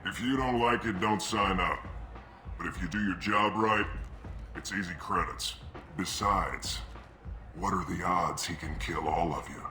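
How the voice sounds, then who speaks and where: Low-voiced blunt mercenary recruiter. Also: male voice, a little slow, sharp tone, roomy sound, a little hiss or hum. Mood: blunt, businesslike. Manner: blunt, clipped, no-nonsense. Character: gruff mercenary recruiter man, blunt and businesslike. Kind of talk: video game dialogue acting.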